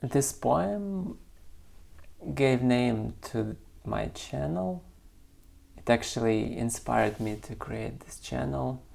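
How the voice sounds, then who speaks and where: A man whose first language is not English, reading his own poem and then musing about it slowly and hesitantly, searching for words. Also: medium pitch, lively intonation, gravelly, a little mellow. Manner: slow, searching, hesitant with many pauses. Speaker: poet and channel creator.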